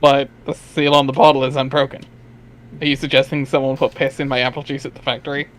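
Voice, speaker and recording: A man's voice: medium pitch, flat delivery, fast, fairly bright tone, close to an everyday voice, poor audio. A man reading a cocky, flippant webcomic teenager in a casual amateur dramatic reading. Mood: incredulous. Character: cocky, foul-mouthed deadpan cool teenage boy, webcomic character.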